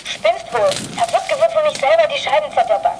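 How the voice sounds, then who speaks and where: A woman's voice: high-pitched, lively intonation, fairly quick, heavy background noise. A woman playing an alert, no-nonsense young girl who works the radio in a children's detective drama, with clipped delivery. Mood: excited. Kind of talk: 1980s German children's radio play acting.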